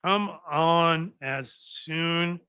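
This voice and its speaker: A man in his fifties hosts a casual livestream, speaking with a bright, forceful voice a bit higher than most men's. He rambles and pokes fun at himself, full of fillers and restarts, close to the mic in a dry room. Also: extremely slow, clean tone, no echo. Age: 50s. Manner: rambling, self-deprecating, frequent fillers and restarts. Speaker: host.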